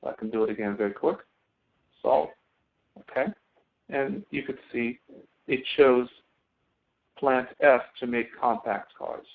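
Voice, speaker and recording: A man teaching a live online software lesson, explaining step by step in a halting way as he demonstrates. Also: medium pitch. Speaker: instructor. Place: online screen-share session. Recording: thin phone-like audio.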